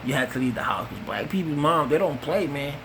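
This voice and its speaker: New york guy doing standup, kinda high voice, rambling and cussing, bit of hiss behind him. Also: brisk, vocal fry. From the Bronx, New York. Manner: loose, rambling, profane street talk with animated acted-out quotes. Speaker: stand-up comedian. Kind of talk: stand-up storytelling.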